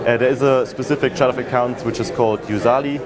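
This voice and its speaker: A man's voice: mid-range voice, fast. A man with a German accent, interviewed about his work, speaking casually with plenty of ums and uhs. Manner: relaxed, many fillers like um and uh. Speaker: interviewee, business development head. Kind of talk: conversational interview. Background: crowd chatter.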